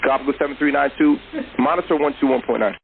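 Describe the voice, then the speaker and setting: Fast-talking male controller on radio. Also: medium pitch, fairly even intonation, vocal fry. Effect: radio transmission. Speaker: air traffic controller. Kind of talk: rapid radio instructions in clipped aviation phraseology. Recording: tinny air traffic radio with hiss.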